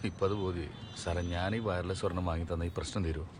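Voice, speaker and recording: A man's voice: low-pitched, little pitch movement, racing, lightly acted. An actor playing an aggrieved suspended policeman with a Kerala accent, pleading in a comedy film. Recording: film audio with clear background noise.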